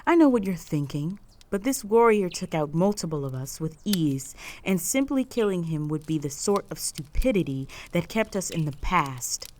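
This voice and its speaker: A woman playing a stern, proud, possessive female orc chieftain in a roleplay audio drama, speaking in a formal, measured, authoritative way. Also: lowish voice, sing-song, a little mellow, slight background noise. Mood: commanding, stern.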